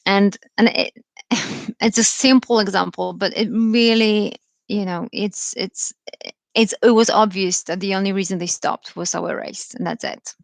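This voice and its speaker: A woman's voice: medium pitch, fairly even intonation, a little slow, vocal fry, no room sound. A woman in her thirties from Poland with a Polish accent, giving an informal live talk, thoughtful and full of ums and restarts. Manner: thoughtful, many fillers and restarts. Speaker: career speaker.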